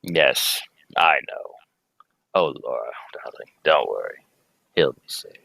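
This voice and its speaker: A man's voice: rumbling low, animated, takes it slowly, creaky, bright, forceful tone, no echo, exaggerated voice. A young man playing a grave, urgent alien scientist and family man in a live radio play reading, speaking in solemn, theatrical pronouncements. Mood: earnest. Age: young adult. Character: grave, urgent Kryptonian scientist, husband and father. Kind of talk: live amateur reading of old radio script.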